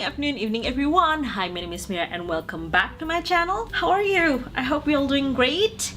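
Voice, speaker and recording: A woman's voice: on the high side, fairly bright tone, slight background noise. A young woman from Indonesia, speaking English with an Indonesian accent, chatting casually and enthusiastically as a movie reviewer on her channel, rambling a little as she goes.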